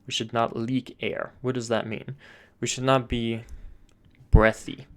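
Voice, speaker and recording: A man at an ordinary middle pitch gives a spoken chant lesson. He explains patiently and plainly, leaning on "sort of" and brief pauses as he works through each point. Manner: calm explanatory, frequent "sort of" and "uh" fillers. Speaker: chant teacher.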